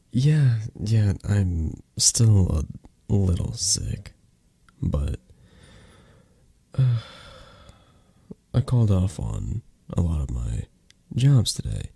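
Man acting a dark, husky mafia boss lover. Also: no echo, full character voice. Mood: soft, affectionate. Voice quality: breathy, husky, low.

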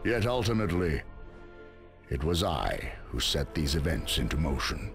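An actor playing a solemn, ominous narrator of a dark war in scripted video game cutscenes, speaking deliberately. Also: male voice, very deep voice, big pitch swings, faint music behind, exaggerated voice. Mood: grave, ominous. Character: grave, ominous male chronicler of a dark war.

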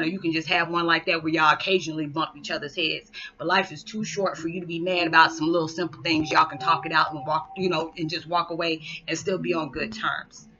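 A middle-aged woman sharing a candid, emphatic personal story in a vlog, talking to a webcam in a small living room. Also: fairly low pitch. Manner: candid, emphatic, animated hand gestures. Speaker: vlogger. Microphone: webcam built-in mic. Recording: dull lo-fi webcam audio.

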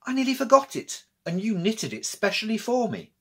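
A man's voice: sits high, big pitch swings, moderate pace, fairly bright tone, husky, pitched above the performer's own voice, heavily acted. A man voicing a polite, earnest French zoo worker in a children's story. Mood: apologetic.

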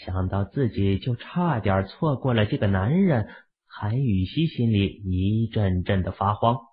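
Low husky guy reading an audiobook novel, thin phone-like sound. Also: expressive, a little slow. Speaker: audiobook narrator. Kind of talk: scripted fiction narration. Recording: phone-like, band-limited audio.

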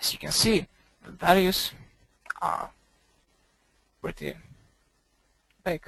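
Guy doing a screencast tutorial, gravelly fry in the voice, stop-start phrasing, dry sound. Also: high voice, fairly bright tone, dry recording. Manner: halting delivery in short broken phrases. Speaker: software tutorial presenter. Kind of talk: screencast software demonstration. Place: voice-over for screen recording.

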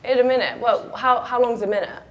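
Young woman, cheeky and crude, bit higher voice, giggles a lot, studio chat. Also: steady tone, a little fast, lo-fi sound, a little hiss or hum. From South London. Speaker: blindfolded dating show host. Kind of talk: casual cheeky interview.

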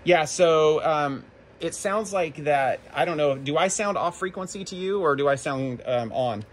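An American man chatting casually as a ham radio operator and video host, halting and informal. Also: on the high side. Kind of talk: casual ham radio chat and vlog narration. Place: covered backyard patio, outdoors. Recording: faint outdoor background noise.

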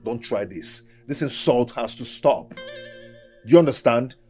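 A man's voice: medium pitch, animated, measured pace, natural voice. An actor with a Nigerian accent playing a stubborn, proud young male servant, protesting and repeating himself. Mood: aggrieved. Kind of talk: film drama acting. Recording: music behind the voice.

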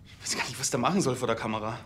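An actor playing a bashful, awkward police officer in a TV comedy, hesitant and stammering. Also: male voice, mid-range voice, fairly even intonation, rapid-fire, noisy background, natural voice. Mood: embarrassed. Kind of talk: TV comedy acting.

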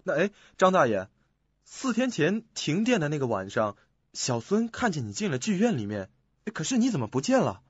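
A man playing a polite, curious painter who is easily impressed, with a husky voice, in a radio drama. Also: on the high side, huge pitch swings, moderate pace, dry recording, full character voice. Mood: probing. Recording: muffled, dry lo-fi audio.

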